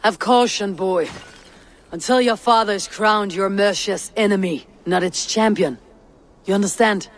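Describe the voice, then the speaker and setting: An actress playing a stern, battle-hardened female Viking raider in a video game, with a low, gruff voice and terse delivery. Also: unhurried, natural voice. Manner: terse, commanding. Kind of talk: dramatic video game acting.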